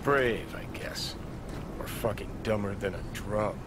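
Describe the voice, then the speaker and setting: Game role: male actor as cynical rebel, low muttered sarcasm, coarse and mocking, noisy background. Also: a little slow, lightly acted. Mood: scornful. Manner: sardonic, muttering, foul-mouthed. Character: cynical, mocking rebel man, sardonic onlooker. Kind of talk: video game acting. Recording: vehicle noise behind.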